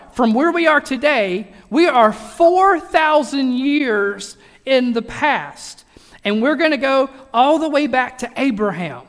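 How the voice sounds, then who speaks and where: A high-pitched man preaches a loose, humorous sermon, stumbling over restarts and poking fun at himself and his listeners. His voice carries a long reverb from a large church sanctuary. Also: a bit bright. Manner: chatty, self-deprecating humor, frequent restarts. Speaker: pastor. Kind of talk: casual joking sermon.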